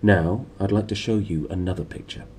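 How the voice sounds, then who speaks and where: A man voicing a composed, authoritative gentleman explorer who lectures calmly and in measured phrases in a storybook reading. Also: sits low. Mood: calm, assured. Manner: calm, measured. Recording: clearly noisy room recording.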